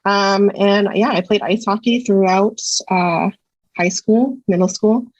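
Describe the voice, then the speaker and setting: A woman's voice: mid-range voice, fairly even intonation, a little slow, a little mellow, dry recording. A woman in her thirties from Canada, a psychology researcher talking through a casual interview, thoughtful and hesitant with many fillers. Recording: dull lo-fi sound, dry.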